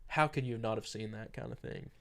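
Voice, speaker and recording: A man's voice: lowish voice, sing-song, brisk, gravelly, a little hiss or hum. A young man co-hosting a podcast, chatting casually and rambling with lots of fillers, a bit stuffy from a cold. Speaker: podcast co-host. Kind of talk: casual chat.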